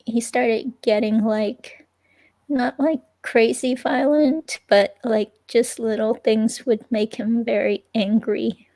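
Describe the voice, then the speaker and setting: A woman playing a halting, creaky-voiced young ex-girlfriend. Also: fairly high pitch, steady tone, dark tone, rough recording. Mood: hesitant, uneasy. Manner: halting, hesitant, filler-laden delivery. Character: hesitant young ex-girlfriend giving a police statement, uneasy. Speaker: reader playing an interviewee. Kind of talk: dramatic reading of police interview transcript.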